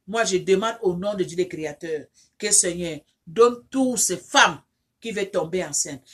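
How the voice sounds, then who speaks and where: A woman's voice: lowish voice, moderate pace, breathy edge. Fervent woman praying, non-native French. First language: not French. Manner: repetitive, fervent, with many restarts and fillers; rises into loud insistent pleading when praying. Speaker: online counselor leading prayer. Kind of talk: informal prayer and appeal to viewers.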